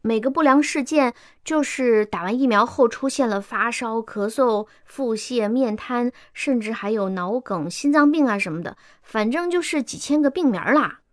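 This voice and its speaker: A woman's voice: fairly high pitch, animated, normal speed, few pauses, dry recording, clean studio sound, natural voice. A woman playing a timid, earnest, educated employee in a radio drama, hesitant and deferential as she speaks up. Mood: earnest, objecting. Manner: hesitant, deferential, meekly objecting.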